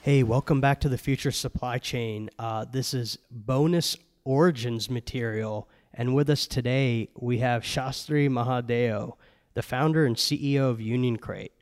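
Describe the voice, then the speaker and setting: A man's voice: mid-range voice, a little slow, a little mellow. Casual podcast host guy, lots of you knows, bit of a long echo on him. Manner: casual, uses fillers like you know. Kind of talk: interview hosting.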